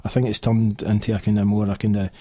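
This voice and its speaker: Fast-talking Scottish radio host. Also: male voice, lowish voice, little pitch movement, mellow, no room sound. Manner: fast, chatty, lots of fillers. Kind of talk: casual radio chat. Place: radio studio.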